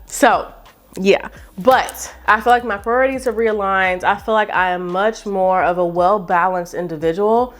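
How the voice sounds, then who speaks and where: Woman, late 20s, YouTuber. Chatty, animated talk to camera with frequent asides. Mid-range pitch. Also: unhurried. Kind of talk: casual chat to camera.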